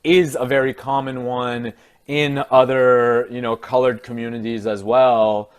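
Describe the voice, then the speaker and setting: Relaxed young man hosting a chat. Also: mid-range voice, a little slow, smooth. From California. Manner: relaxed, filler-heavy, mimics others. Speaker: podcast host. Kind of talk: casual conversation.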